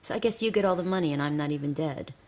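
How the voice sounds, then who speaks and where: A woman's voice: low-pitched, racing, a little mellow. An actress playing a woman who has lost her job and rights, strained and bitter, at times whispering. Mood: distraught. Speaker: narrator and protagonist. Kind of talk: radio drama. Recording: noisy recording with hiss.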